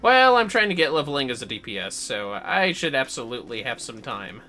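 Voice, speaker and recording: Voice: mid-range voice, very animated, brisk, bright, forceful tone, faint music behind. A streamer with an androgynous voice chatting casually and playfully while playing a game, muttering to themselves and swearing freely. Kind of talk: casual livestream gaming commentary.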